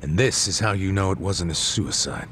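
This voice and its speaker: A man's voice: deep voice, animated, moderate pace, a bit bright, slight background noise. An actor playing a shrewd, blunt, suspicious man in a dramatic video game. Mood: skeptical.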